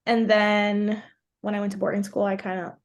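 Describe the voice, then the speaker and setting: Chatty new york woman in her 20s, grew up speaking portuguese, guest on a podcast, mid pitch, says like constantly. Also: little pitch movement, moderate pace. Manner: chatty, fills speech with "like". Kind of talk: casual interview chat.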